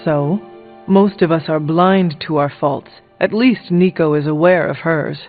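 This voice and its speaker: Higher-voiced, formal superhero companion. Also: male voice. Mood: earnest. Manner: formal, measured, without contractions. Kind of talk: video game character dialogue. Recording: tinny band-limited sound, music behind. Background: game music.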